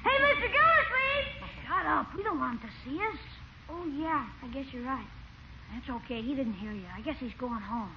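Mischievous small boy for radio comedy: very high, animated, slangy and eager, on a noisy vintage recording. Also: normal speed, muffled recording. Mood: hushed, wary. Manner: excitable, slangy, childlike chatter. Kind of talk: old-time radio comedy acting.

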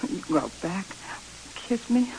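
An actress playing a devoted, tender young sweetheart in an old radio drama. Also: female voice, medium pitch, very animated, unhurried, creaky. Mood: tender, aching. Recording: hissy old radio recording.

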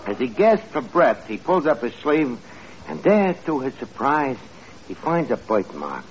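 A man's voice: medium pitch, big pitch swings, slow pace, long pauses. A man playing a cocky, wisecracking tavern manager with a New York accent and slangy mock-genteel talk, in 1940s radio comedy. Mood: mock-dramatic. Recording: muffled noisy 1940s radio, studio audience.

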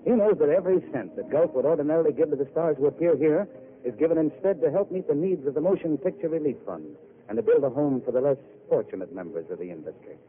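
A man's voice: on the high side, animated, quick pace. A man hosting and directing a 1940s radio drama anthology, giving a polished, earnest introduction. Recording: tinny old radio broadcast with hiss.

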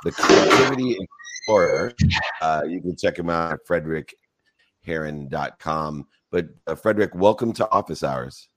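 A man's voice: deep voice, lively intonation, moderate pace. A man hosting a live audio talk show, welcoming and questioning a guest in an effusive way, with many fillers. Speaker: show host.

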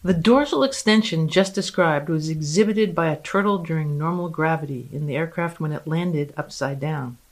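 A woman reading a scientific paper aloud as a guest reader. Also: on the low side.

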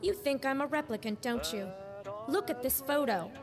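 An earnest young noir heroine, played by a woman, speaks with wounded feeling in a fairly high voice. The acting is 1940s radio style, with music clearly underneath. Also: expressive, moderate pace. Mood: earnest, hurt.

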